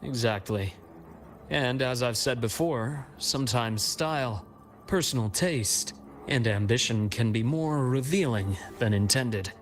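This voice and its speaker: A man's voice: medium pitch, close to an everyday voice. Composed, shrewd male investigator played by an actor. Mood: measured, cautious. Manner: measured, deliberate, analytical. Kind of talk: scripted video game dialogue.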